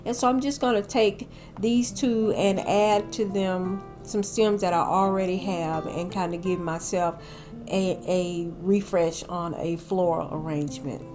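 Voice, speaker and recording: A woman's voice: on the low side, fairly even intonation, a little slow, over music. An older woman, slightly nasal from a cold, chatting casually in a home decor voice-over. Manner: chatty, addresses viewers as y'all.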